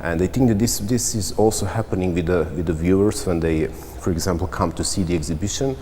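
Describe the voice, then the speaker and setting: A man from central Serbia, an artist answering interview questions in a reflective, halting way with frequent restarts. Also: low voice, dark tone. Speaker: visual artist. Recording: long reverb, faint background noise.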